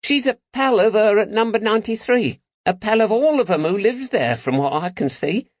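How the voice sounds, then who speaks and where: A man voicing a cheeky, eager young street urchin with a high-pitched voice and slangy talk, in an audiobook. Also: lively intonation, normal speed, no room sound, highs cut off. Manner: colloquial street slang, bargaining.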